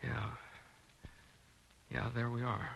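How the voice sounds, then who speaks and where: An actor with an American accent playing a troubled, lovelorn, guilt-ridden doctor in an old radio drama, hesitant and stammering. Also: male voice, mid-range voice, level tone, slow, vocal fry, a bit bright, breathy edge, full character voice, rough recording. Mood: defeated. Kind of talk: 1940s-50s radio drama acting.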